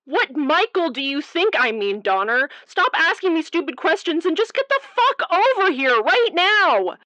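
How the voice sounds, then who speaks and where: An actress playing a worried, sarcastic, sharp-tongued young woman in an audio drama, swearing in exasperation. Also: very high voice, sing-song, moderate pace, no echo, full character voice. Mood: panicked, urgent.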